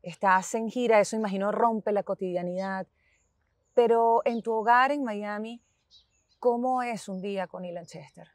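A woman in her thirties hosting an interview, asking questions in a warm, lively way. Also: mid-range voice, normal speed, dry recording, clean studio sound. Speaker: interviewer, host. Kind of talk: conversational interview. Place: outdoors in a city park. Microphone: clip-on lapel mic.

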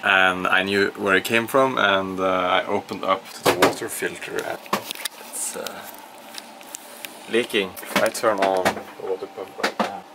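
Low-voiced young man vlogging. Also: measured pace. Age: young adult. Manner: informal, thinking aloud. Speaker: sailing vlogger. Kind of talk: casual vlog commentary. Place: small sailboat cockpit and cabin. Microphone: handheld camera at arm's length. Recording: noisy on-board camera audio, steady hum.

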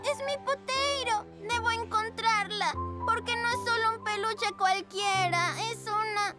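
A woman voicing a cheerful, earnest kindergarten pug puppy girl in a children's cartoon, with a cutesy, expressive delivery. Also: extremely high pitch, measured pace, loud music behind the voice. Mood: worried.

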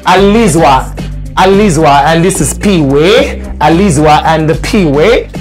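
Animated, playful dating show host. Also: male voice, a little high, slow pace, soft background music. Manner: animated, playful, jokes and hypes the contestants. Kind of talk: lively game show hosting.

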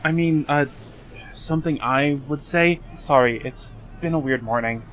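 A man voicing an awkward, downcast young man in a fan dub, talking in a halting, casual, evasive way. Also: on the high side, fairly bright tone, slight background noise.

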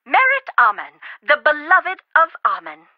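A chatty, whimsical older woman who rambles about food and puzzles. Her voice sits high and swoops around a lot. It comes through a dull, phone-like line with no room sound. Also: huge pitch swings, measured pace, no echo, exaggerated voice. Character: fussy food-loving older woman helper. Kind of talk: game voice acting.

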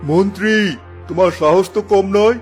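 A man's voice: sits high, moderate pace, faint music behind, lightly acted. A man playing a stern but fair king in a fairy-tale cartoon, with a commanding, formal manner.

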